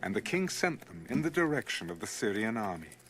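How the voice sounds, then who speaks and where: A man's voice: on the low side, very animated, brisk, a bit bright. A man narrating scripture as a dramatized audio production. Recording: music under the voice. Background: background music.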